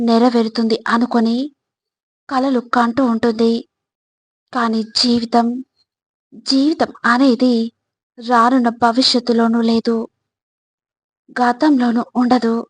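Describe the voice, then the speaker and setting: Female narrator, scripted spiritual motivation. Very slow, level delivery in measured phrases. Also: a little high, dry recording.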